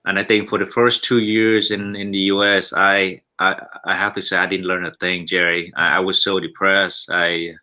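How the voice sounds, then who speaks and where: A Vietnamese-born man in his forties, a restaurant owner, talking in a halting, polite way with many restarts and repeated "yes, sir". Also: deep voice, little pitch movement, sharp tone. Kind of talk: casual interview chat.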